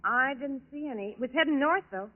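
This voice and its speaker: A brash, slangy nightclub singer in a 1940s radio crime drama. Her voice is very high and swings expressively, with an offhand, sassy edge, on a tinny old broadcast recording. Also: expressive, a little fast. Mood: offhand, cooperative. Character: brash, wisecracking young woman nightclub singer.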